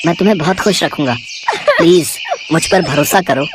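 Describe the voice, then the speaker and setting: A man's voice: on the high side, a little fast, heavy background noise, close to an everyday voice. A man playing an earnest, confident young suitor in a story, speaking firmly. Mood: tender, pleading. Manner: earnest, firm.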